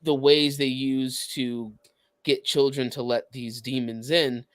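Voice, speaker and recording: Casual man, halting explainer, close dry sound. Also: mid-range voice, little pitch movement, smooth, dry recording. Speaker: podcast co-host. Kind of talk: casual podcast chat.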